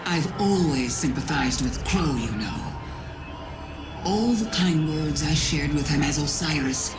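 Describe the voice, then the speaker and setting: An actress playing a cunning, imprisoned witch deity in a video game, speaking deliberately with sly mock sympathy. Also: deep voice. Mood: coolly sympathetic. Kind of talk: video game character monologue. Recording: echoing voice over loud music.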